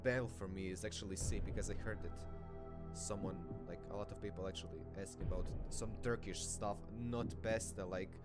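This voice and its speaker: A man's voice: lowish voice, fairly even intonation, a little fast, loud music behind the voice. A man whose first language is not English, a quiz contestant thinking aloud in a hesitant, rambling way with many fillers. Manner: hesitant, rambling, full of fillers and restarts, laughs often.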